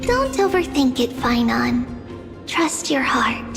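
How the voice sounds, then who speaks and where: A tiny, encouraging little girl from a video game. The high voice bounces up and down with a creaky edge. It sits in long reverb over music. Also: very animated, takes it slowly, full character voice.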